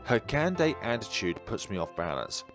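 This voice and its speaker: A man's voice: on the low side, big pitch swings, moderate pace, a bit bright, faint music behind. A man reading a visual novel aloud theatrically as a game streamer, slipping out of the story for asides and self-corrections.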